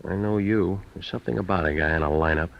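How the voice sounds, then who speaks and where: An actor playing a tough, wisecracking, cynical private eye, terse and deadpan, in an old-time radio detective drama. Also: male voice, sits low, fast, gravelly. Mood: tough, needling. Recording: dull, noisy old radio recording.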